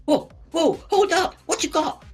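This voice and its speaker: Mouthy teen delinquent. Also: female voice, very high voice, a little slow, a little mellow, soft background music. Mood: brash. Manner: brash, slangy. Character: cocky, mouthy teenage street delinquent.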